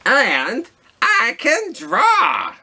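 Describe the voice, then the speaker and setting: A man voicing a boastful, excited giant squid from a picture book, in loud, drawn-out exclamations. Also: very high voice, gravelly, voice pushed up, full character voice. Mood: proud, excited.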